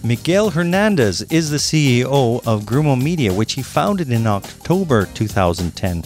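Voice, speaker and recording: A man's voice: medium pitch, sing-song, moderate pace, darkish tone, faint music behind. A man hosting a podcast, explaining topics in an upbeat, promotional way and introducing his guest. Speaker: podcast host. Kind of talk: podcast monologue and interview.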